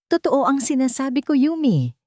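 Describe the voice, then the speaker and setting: A woman's voice: high-pitched, sing-song, moderate pace, no echo, studio quality, pitched above the performer's own voice. Woman acting out a pleading, deceitful husband. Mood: panicky pleading. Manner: soft, pleading, dramatic. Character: pleading, deceitful estranged husband begging to see his son.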